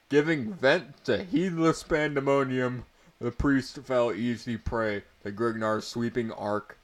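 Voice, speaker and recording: A man narrating a story aloud in a portentous, mock-dramatic way, with amused asides. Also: medium pitch. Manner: portentous, breaking into amused commentary.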